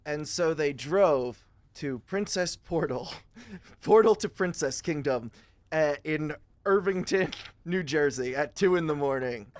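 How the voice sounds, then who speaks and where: A man with a fairly high voice serves as narrator of an improvised comic story. He goes very slowly, in a halting and amused manner. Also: lively intonation, darkish tone, dry recording.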